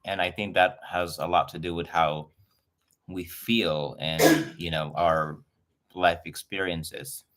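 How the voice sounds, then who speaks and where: Chatty man, lowish voice. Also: steady tone, slow pace, background noise. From Philippines. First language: Filipino. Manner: chatty and effusive, greeting viewers. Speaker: livestream host. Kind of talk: casual livestream chat with viewers. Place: home in Los Angeles.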